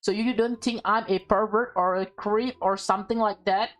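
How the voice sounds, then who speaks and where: A woman's voice: mid-range voice, steady tone, normal speed, faint music behind, lightly acted. Woman reading an awkward, earnest teenage schoolboy. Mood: defensive.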